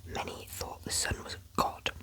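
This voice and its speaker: A woman whispering a book of facts aloud for ASMR, slowly repeating words, with chatty, lightly sarcastic asides and a sniffly nose from a cold or hay fever. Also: bright, forceful tone. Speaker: ASMR creator.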